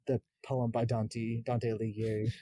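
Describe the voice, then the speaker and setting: A man chatting casually as a podcast co-host, often pausing to search for his words. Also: mid-range voice, level tone, a crowd in the background. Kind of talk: casual chat about horror games and films.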